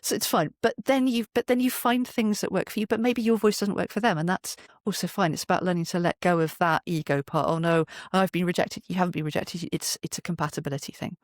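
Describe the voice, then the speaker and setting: A British speaker with an expressive manner, talking as an interview guest and often acting out imagined quotes. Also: medium pitch, fast talker, hardly any pauses, no echo, studio quality. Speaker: podcast guest, writer and poet. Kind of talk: animated interview conversation.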